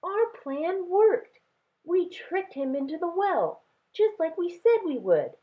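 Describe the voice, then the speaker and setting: A woman voicing excited, triumphant young twins in a children's storybook, with an eager, exuberant delivery. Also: extremely high pitch, takes it slowly, pitched above the performer's own voice, exaggerated voice. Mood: eager, proud. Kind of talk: children's storybook read-aloud. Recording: tinny, phone-like narrow sound.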